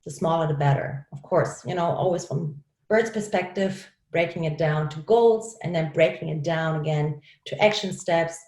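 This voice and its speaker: A woman's voice: low-pitched, moderate pace, few pauses, a little mellow, reverberant, rough recording. A woman with a German accent giving an upbeat, encouraging coaching talk over a video call, laughing often as she speaks.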